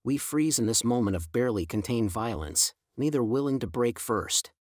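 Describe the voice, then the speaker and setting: A man reading a first-person audiobook in a measured, brooding storytelling manner. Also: medium pitch, expressive, no echo, studio quality. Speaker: audiobook narrator. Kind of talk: scripted first-person narration.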